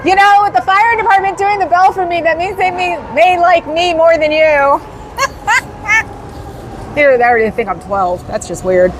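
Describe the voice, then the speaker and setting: A woman's voice: very high-pitched, normal speed, noisy background. A woman hosting a casual livestream, chatting with viewers in a rambling way and laughing often. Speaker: livestream host.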